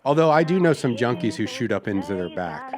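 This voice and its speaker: A man on an improvised prank call, deadpan and pushy, often talking over the others. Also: medium pitch, fairly quick, music in the background. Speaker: prank caller.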